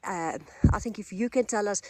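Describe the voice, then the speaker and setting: A woman interviewing a carer in a relaxed way, with many short encouraging replies. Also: medium pitch, moderate pace. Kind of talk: casual interview.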